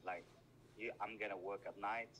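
A man's voice: lowish voice, fairly even intonation, moderate pace, a bit bright, slight background noise, poor audio. A man from Morocco chatting on a call, shy and hesitant with many fillers. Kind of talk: casual phone chat.

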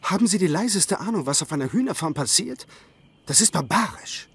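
Preachy man, swooping highish voice. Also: sing-song, brisk, fairly bright tone, slightly rough, exaggerated voice. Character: earnest, preachy vegetarian male friend. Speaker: actor in a sitcom dub.

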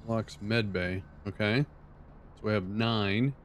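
Low-voiced older man muttering game commentary. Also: fairly even intonation, takes it slowly, slight background noise. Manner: low-key, muttering asides. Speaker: streamer. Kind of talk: casual let's play commentary. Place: home room, seated in a dark chair.

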